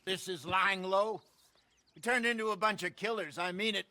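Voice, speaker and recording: Sharp, high-voiced old American outlaw. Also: male voice, normal speed, no echo. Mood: bitter, exasperated. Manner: blunt, sardonic. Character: disillusioned, querulous old male outlaw. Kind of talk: cinematic video game acting.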